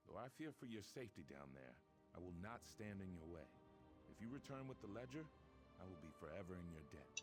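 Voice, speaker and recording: A stern ship captain. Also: male voice, low voice, big pitch swings, loud music behind the voice. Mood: grateful. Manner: formal, measured, theatrical. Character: proud stern ship captain, grave and formal.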